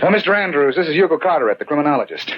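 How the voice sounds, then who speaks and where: A man playing an earnest police commissioner in a 1940s radio mystery, formal and deliberate as he puzzles things out. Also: on the high side, expressive, over music, natural voice. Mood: businesslike. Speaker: radio actor. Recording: muffled, noisy 1940s radio recording.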